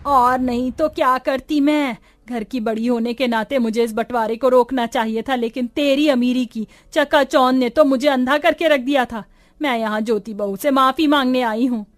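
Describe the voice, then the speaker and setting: A woman's voice: high voice, fairly even intonation, moderate pace, close to an everyday voice. A woman playing a weary, aggrieved elderly mother-in-law in a cartoon family drama, groaning and complaining. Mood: remorseful. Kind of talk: Hindi animated moral-story acting.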